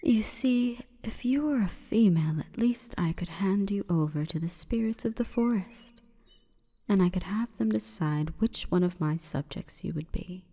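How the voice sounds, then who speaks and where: A woman voicing an imperious, calm forest goddess in a breathy, whispering ASMR roleplay, with stately, measured delivery. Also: mid-range voice. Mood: cold, commanding. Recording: long reverberant echo.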